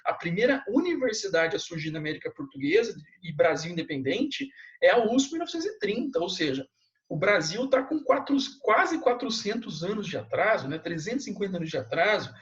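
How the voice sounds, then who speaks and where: High-voiced casual male history teacher. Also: sing-song, a little fast. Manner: casual, slangy, with frequent tag questions. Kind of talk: recorded classroom lecture. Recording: dull lo-fi sound.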